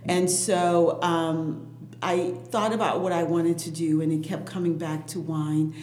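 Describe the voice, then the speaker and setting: A woman who grew up in Haiti with Creole as her first language, telling stories as an interview guest in a lively, chatty way. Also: fairly low pitch, unhurried, reverberant. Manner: lively storyteller, frequent "right?" and "you know". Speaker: wine entrepreneur, guest. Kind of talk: casual interview.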